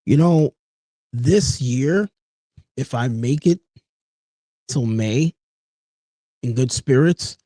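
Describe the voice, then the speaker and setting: A man's voice: mid-range voice, drawn-out words, long pauses, dark tone, dry recording, rough recording. A man in his late thirties hosting a solo podcast, talking in an earnest, personal way. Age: 30s. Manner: earnest, personal, with frequent "you know" and "folks". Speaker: podcast host. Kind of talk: reflective solo podcast talk.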